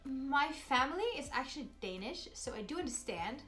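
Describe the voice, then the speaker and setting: A woman's voice: sits high, expressive, fairly quick, sharp tone, soft background music. A woman in her thirties from Sweden with a Swedish accent, chatting upbeat and bubbly through a vlog Q&A. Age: 30s. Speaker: vlogger. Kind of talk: casual Q&A vlog.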